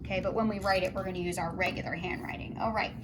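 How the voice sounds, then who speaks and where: A woman's voice: lowish voice, steady tone, rapid-fire. A woman teaching early reading to young children, speaking in a sing-song way, asking questions and sounding out letters and words. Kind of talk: phonics lesson for young children, read-aloud. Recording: roomy echo, clear background noise.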